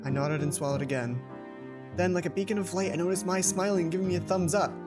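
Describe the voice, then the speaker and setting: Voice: mid-range voice, fast, over loud music. A let's play host reading a visual novel aloud with casual commentary, stumbling over words and interrupting the narration.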